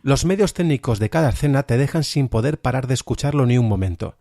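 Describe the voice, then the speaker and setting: A man's voice: mid-range voice, expressive, fast, warm tone, no room sound, studio quality. A man presenting a podcast review of audio fiction in an informal, emphatic way. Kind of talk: review narration.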